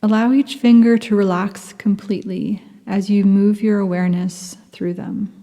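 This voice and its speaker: A woman guiding a yoga nidra meditation, speaking softly and soothingly, sometimes dropping into a half-whisper. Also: mid-range voice, steady tone, big-room sound. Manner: soft, slow, soothing, half-whispered at times. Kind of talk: guided meditation.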